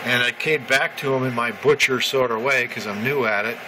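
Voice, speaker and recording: A man talks casually through his ham radio hobby in a halting, thinking-aloud way. His voice sits at an average pitch. It is recorded on a handheld camera in a small room, with loud noise from the radio all around it. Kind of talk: casual hobby vlog, narrating as he goes. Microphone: handheld camera or phone mic.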